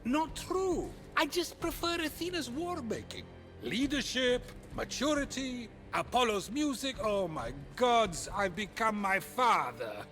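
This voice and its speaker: A vain, childish king of the gods trading flippant banter in a video game. The voice sits very high for a man and leaps around theatrically, with music clearly behind it. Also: very animated, a little slow, fairly bright tone, heavily acted. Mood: smug.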